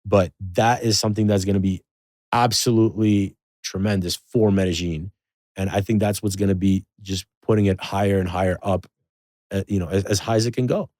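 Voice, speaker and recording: Low-voiced man in rambling podcast chat. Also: brisk, no room sound. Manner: rambling, filler-heavy, animated storytelling. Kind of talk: casual podcast conversation.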